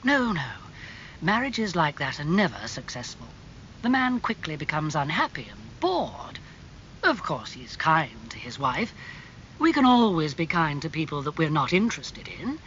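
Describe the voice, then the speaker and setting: A man voicing a suave, worldly gentleman in an audiobook, calm, languid and cynical as he talks. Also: on the high side, measured pace, lo-fi sound, a little hiss or hum. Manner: calm, smooth-talking, languid.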